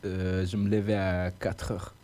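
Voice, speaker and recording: A man's voice: deep voice, flat delivery, measured pace, a little mellow. A young man being interviewed about his path into radio, talking earnestly and colloquially, sprinkling his sentences with casual fillers. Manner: earnest, colloquial, heavy use of "tu vois" and "quoi" fillers. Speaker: radio presenter interviewed as guest.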